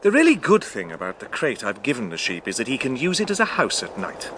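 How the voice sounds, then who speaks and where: A man's voice: medium pitch, wildly swooping pitch, quick pace, background noise. A man playing a stranded pilot in a radio drama, exasperated but kindly as he explains himself. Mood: coaxing.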